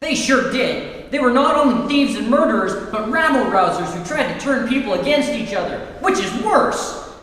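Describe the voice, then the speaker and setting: A woman's voice: a little high, expressive, normal speed, a bit bright. Woman acting an eager, swooping boy sidekick, echoey. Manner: cheeky, eager, boyish. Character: Robin, eager cheeky growing boy sidekick. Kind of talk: old-time radio play acting. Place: theatre stage, live performance. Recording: echoey hall stage, light noise.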